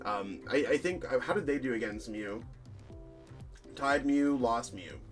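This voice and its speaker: A man of average pitch talks through card game deck lists in a loose, rambling way, his voice slightly hoarse. He fills gaps with ums and corrects himself mid-sentence as he goes. Faint music plays quietly behind him. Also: unhurried. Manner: chatty, rambling, lots of fillers and self-corrections. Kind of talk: casual commentary over deck lists.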